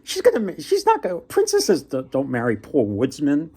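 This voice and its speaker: A man's voice: a little high, wildly swooping pitch, moderate pace, warm tone, husky, heavily acted. A man voicing a poor young woodsman in a folktale, humble, doubtful and incredulous. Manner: incredulous, self-deprecating.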